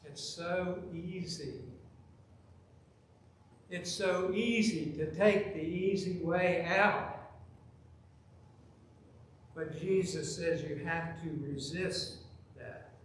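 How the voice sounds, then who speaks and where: Middle-aged male pastor, high-pitched and animated, preaching in a very reverberant sanctuary. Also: very slow, long pauses, a little hiss or hum. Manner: animated, gesturing, rising to loud emphasis. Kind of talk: sermon with storytelling. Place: large church sanctuary, at the pulpit. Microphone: pulpit microphone, filmed from far camera. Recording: very echoey church with faint ambience.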